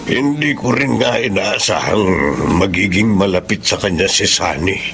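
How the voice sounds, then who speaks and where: An actor playing an ailing, submissive middle-aged husband, halting and earnest, in a radio drama. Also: male voice, fairly low pitch, natural voice. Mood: resigned, regretful. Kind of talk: Filipino radio drama acting.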